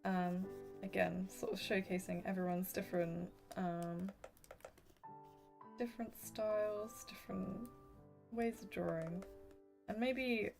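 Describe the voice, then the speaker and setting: Soft-spoken woman with a gravelly, tiring voice. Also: mid-range voice, steady tone, slow pace, faint music behind. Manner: soft-spoken, apologetic, chatting with viewers. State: voice going hoarse. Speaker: art streamer. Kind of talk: casual livestream chat while drawing.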